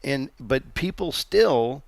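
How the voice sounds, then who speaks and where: A middle-aged man hosting a reflective talk, earnest and guiding, with halting restarts. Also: medium pitch, expressive, vocal fry, no room sound. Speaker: podcast host. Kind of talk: reflective conversational interview.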